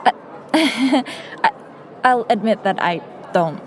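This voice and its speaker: A woman's voice: fairly high pitch, animated, slow, noisy background. A woman playing a courteous, guarded young woman in an audio drama, speaking formally and a little hesitantly. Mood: flustered, apologetic. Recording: music and crowd murmur behind.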